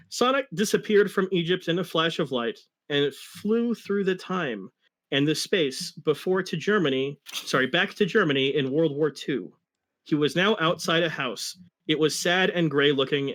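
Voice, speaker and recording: A man giving a mock-dramatic reading of fan fiction, narrating deadpan with casual asides. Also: on the high side. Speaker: co-host reading a story aloud.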